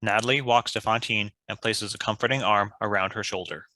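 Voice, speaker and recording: Bright, forceful script narrator. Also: medium pitch, steady tone, brisk, poor audio. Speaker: stage direction reader. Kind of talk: scripted narration, reading stage directions.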